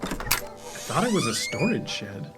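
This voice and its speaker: A man's voice: medium pitch, big pitch swings, moderate pace, over loud music, lightly acted. Man acting an easygoing builder guy in a radio rom com, blunt, voice jumps around a lot. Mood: curious. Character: blunt, easygoing male house builder, proud of his work. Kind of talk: romantic comedy radio play acting.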